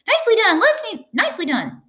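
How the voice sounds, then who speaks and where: A woman voicing a bossy, excitable goose in a read-aloud storybook, shrill and urgent, repeating her orders. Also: fast talker, exaggerated voice.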